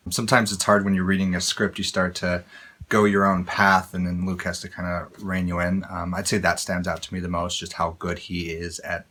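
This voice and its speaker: A male actor reflects haltingly in a casual interview. His voice is very low and flat in melody, with a bright, forceful edge. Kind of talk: casual behind-the-scenes interview.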